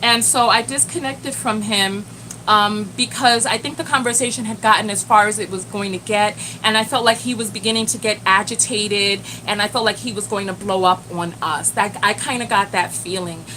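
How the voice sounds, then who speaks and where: A woman's voice: mid-range voice, normal speed, a little hiss or hum, low-quality recording. A woman hosting a live stream as a self-love coach, chatting animatedly and talking straight to her viewers. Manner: animated, addresses the chat directly, exclaims "Lord have mercy".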